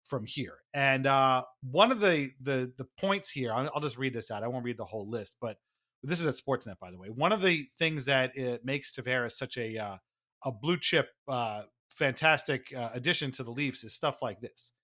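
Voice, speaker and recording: A man's voice: mid-range voice, normal speed, sharp tone. A man co-hosting a casual sports podcast, talking in a wry, amused, conversational way. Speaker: podcast co-host.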